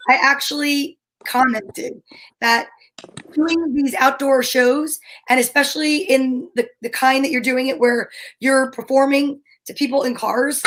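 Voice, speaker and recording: A woman co-host with a notably high voice talks casually. She explains things in a conversational way, working out her thoughts aloud. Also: a bit bright, low-quality recording. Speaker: co-host of a comedy talk show. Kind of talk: casual chat.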